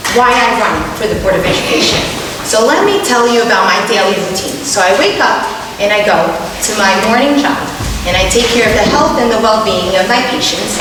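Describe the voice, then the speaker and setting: A woman's voice: medium pitch, animated, normal speed. A woman in her thirties whose first language is Portuguese, giving a passionate, emphatic school board candidate speech. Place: large hall at a debate. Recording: echoey hall from far off, noisy.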